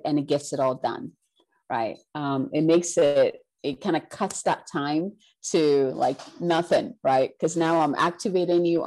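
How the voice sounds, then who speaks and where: A woman's voice: low voice, warm tone, rough recording. A woman from Ghana, a spiritual healer, talking in an earnest, casual way as an interview guest, with many "you know" and "right" fillers.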